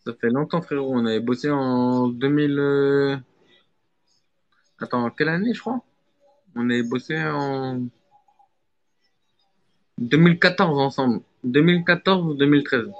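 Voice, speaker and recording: A man of middling pitch talks emphatically on a casual live call, repeating himself for emphasis. His audio comes through dull and lo-fi. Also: unhurried, pauses often. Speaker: guest caller.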